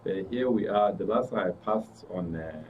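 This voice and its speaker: A man with a Nigerian accent giving measured, halting, cautious remarks as a talk show guest. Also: sits low, a little mellow. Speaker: studio guest. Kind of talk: interview commentary. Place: radio and TV studio. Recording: dull distant mic, background noise.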